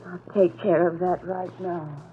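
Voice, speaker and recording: An actress playing a coolly threatening, calculating blackmailer in old-time radio drama, speaking in an insinuating, deliberate way. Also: female voice, lowish voice, flat delivery, a little hiss or hum, close to an everyday voice. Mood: brisk, controlled. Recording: tinny band-limited vintage radio, music behind.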